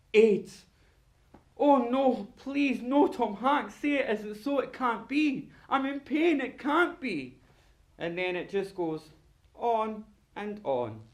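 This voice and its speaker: Man acting a high-voiced, campy, sardonic monologist. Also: a little mellow. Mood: campy mock dialogue. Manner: dramatic, sardonic, campy, given to mimicking dialogue. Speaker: actor performing a monologue. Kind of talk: theatrical solo stage monologue.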